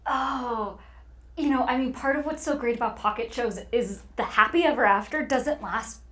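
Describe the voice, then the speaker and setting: A woman talks as a guest on a casual interview show. Her voice is fairly high, and her manner is playful and conversational, that of a narrator chatting off script. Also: normal speed, a bit bright. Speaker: audio series narrator guest.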